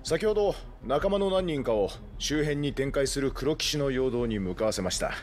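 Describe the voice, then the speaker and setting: A man's voice: medium pitch, animated, normal speed, a little mellow, close to an everyday voice. A man playing a loyal resistance knight in a Japanese video game, speaking formally with deference and earnest conviction. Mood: businesslike.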